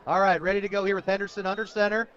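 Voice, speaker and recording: A man's voice: sits high, little pitch movement, rapid-fire, a bit bright. An American man doing live radio play-by-play of a football game, conversational, in punchy short phrases. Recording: dull lo-fi radio broadcast audio.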